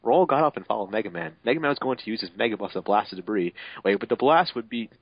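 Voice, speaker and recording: A man's voice: fairly low pitch, expressive, rapid-fire, husky, no room sound. Sardonic man reading aloud, dry muffled mic. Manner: sardonic asides, breaks off to swear. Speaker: narrator of a fanfiction reading. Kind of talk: mocking amateur dramatic reading. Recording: dull, lo-fi home mic.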